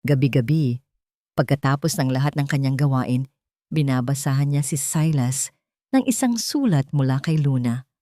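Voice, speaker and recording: Female Filipino narrator for romantic drama audio story; low-pitched, mellow, very dry recording. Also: animated, normal speed, dry recording.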